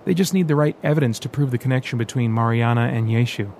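A thoughtful male rebel leader from an audiobook, speaking softly and carefully, as the male reader gives him his own natural voice. He sounds measured and quietly burdened, at an ordinary middle pitch. A faint rumble runs behind the reading. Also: natural voice. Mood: reflective. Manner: soft, reflective.

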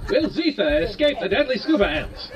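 A man's voice: high-pitched, big pitch swings, normal speed, dull audio. A man playing a breathless radio serial announcer who teases cliffhangers, in a noisy recording. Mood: melodramatic suspense. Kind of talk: old-time radio serial narration. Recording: noisy, distant, crowd behind.

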